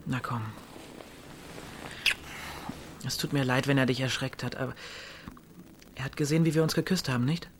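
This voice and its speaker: A man's voice: mid-range voice, fairly even intonation, fast, a little hiss or hum, lightly acted. A young man playing a pliable, uneasy village teenage boy in a naturalistic radio drama, answering in terse, hesitant replies. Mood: soothing.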